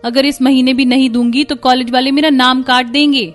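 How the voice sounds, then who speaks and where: A woman's voice: high voice, level tone, a little fast, soft background music, natural voice. A woman voicing a fretful young college-student daughter in a cartoon story, pleading. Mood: worried. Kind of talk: Hindi cartoon story voice acting.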